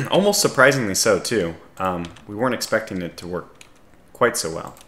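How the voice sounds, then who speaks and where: A man with a scratchy voice giving casual strategy game commentary, calmly thinking aloud as he analyses his options. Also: fairly low pitch, normal speed, roomy sound. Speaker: gaming video host.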